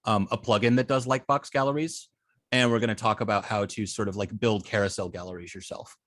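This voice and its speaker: A man teaching a class informally, speaking in a halting way and often repeating words as he thinks. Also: on the low side, fairly even intonation, fairly bright tone, dry recording, studio quality. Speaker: web design class instructor. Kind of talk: informal online teaching and student check-ins.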